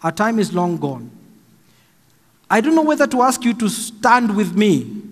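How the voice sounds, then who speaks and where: A middle-aged Kenyan man preaching a slow, emphatic sermon from a pulpit stand mic in a large church hall. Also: high voice, sing-song. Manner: slow and emphatic, raising his voice for stress. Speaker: pastor. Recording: long hall reverb, faint music.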